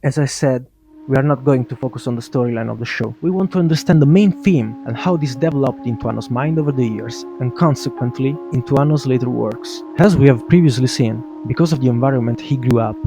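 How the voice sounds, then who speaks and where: A man's voice: mid-range voice, fast talker. A man narrating a scripted video essay in a brash, opinionated way, swearing casually and addressing viewers directly. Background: music.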